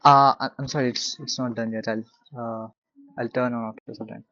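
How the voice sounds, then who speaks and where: A man with an Indian accent, a consulting client speaking haltingly with many fillers on a video call. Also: mid-range voice, level tone, bright, forceful tone, no room sound, muffled recording.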